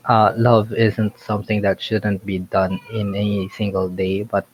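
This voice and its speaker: A man's voice: on the low side, little pitch movement, measured pace, a crowd in the background. A young man chatting casually in an online voice call, rambling through restarts and fillers. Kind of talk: casual chat. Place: home, online voice chat. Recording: dull voice-chat audio.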